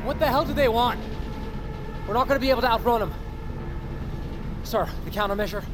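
An alarmed crew member in audio fiction, urgent and panicky. The voice is strained extremely high while still deferring to command, amid clear vehicle noise. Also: male voice, fairly quick. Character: alarmed, deferential crewman reporting to his captain. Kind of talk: audio fiction drama acting. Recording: noisy vehicle sound effects behind.

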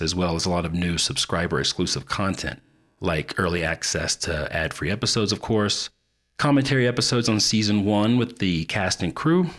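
Casual low-voiced man hosting a podcast. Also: a bit bright. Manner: informal, conversational. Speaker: podcast host. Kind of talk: casual scripted announcements.

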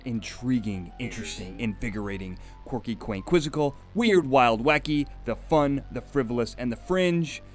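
A man hosting an interview podcast, effusive and conversational, with a theatrical flourish. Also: mid-range voice. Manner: effusive, theatrical list-like delivery in introductions. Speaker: podcast host. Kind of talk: upbeat conversational interview show.

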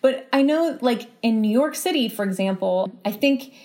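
A woman's voice: on the high side, lively intonation, moderate pace, a little mellow. A woman in her thirties with an American accent, a writer speaking thoughtfully as a guest about personal experience. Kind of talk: reading a personal essay aloud, then thoughtful interview answers.

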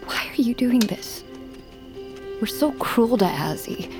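A woman acting a proud, bitter young underdog warrior in a fantasy audio drama, intense and often hushed. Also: on the high side, very animated, slow pace, over music, full character voice. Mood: suspicious, guarded.

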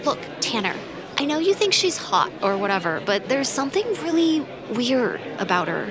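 A woman's voice: fairly high pitch, very animated, normal speed, a crowd in the background. An actress playing a slightly drunk, defiant young woman in an audio drama, insistent, stumbling and exasperated. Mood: exasperated, bewildered. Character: tipsy, defiant young woman, sarcastic and bewildered.

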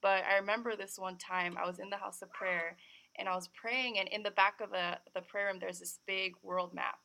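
A woman's voice: medium pitch, fairly even intonation, moderate pace, creaky, pushed and bright, slight background noise. A young adult woman from California, giving her personal testimony as a podcast guest in a chatty way, with lots of "like". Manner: chatty, sprinkled with "like". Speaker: guest missionary.

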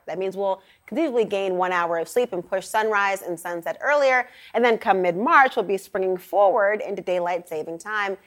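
A woman's voice: mid-range voice, a bit bright. A woman with an American accent hosting a television news panel in a conversational style, posing questions and musing aloud. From Minnesota, United States.

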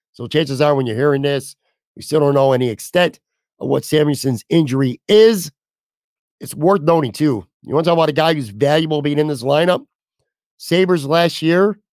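A hoarse man, slightly high-pitched for a man, running a solo sports talk show. He talks bluntly and casually and lets a curse slip now and then. The sound is dry and close, with no room echo. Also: a little mellow. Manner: candid, blunt, casual with mild swearing. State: losing his voice, hoarse. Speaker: podcast host.

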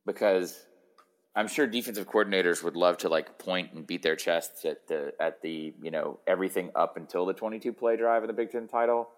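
A man hosting a sports podcast, hoarse and hungover, rambling casually with a sardonic streak. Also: low voice, fairly quick. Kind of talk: casual football podcast chat.

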